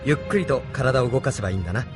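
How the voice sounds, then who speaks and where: A man's voice: medium pitch, expressive, racing, music in the background, close to an everyday voice. An actor playing an earnest, unassuming young man who is new to space, in a Japanese anime audio drama. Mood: curious, polite. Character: young male hero newly in space, earnest and uneasy.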